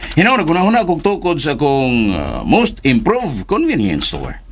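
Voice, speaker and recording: A man's voice: on the high side, wildly swooping pitch, moderate pace, exaggerated voice. A man playing a meddlesome, boastful old man in a Filipino radio drama, stammering, hesitant and given to exclamations. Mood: evasive boasting. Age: older.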